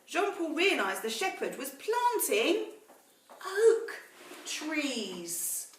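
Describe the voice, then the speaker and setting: A woman reading a story aloud to children in a teacherly way, pausing to explain words and ask questions. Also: sits high, sing-song. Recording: roomy echo, distant mic, faint noise.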